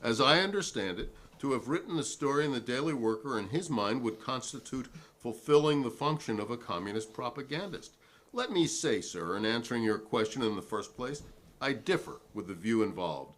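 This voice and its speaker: A man's voice: mid-range voice, animated, normal speed, fairly bright tone. A man playing a defiant, principled newspaperman who testifies formally and argumentatively at a 1958 hearing in a dramatic reading. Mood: defiant, measured.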